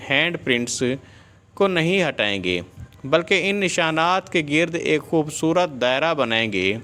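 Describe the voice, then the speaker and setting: Higher-pitched man narrating a moral story. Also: a bit bright.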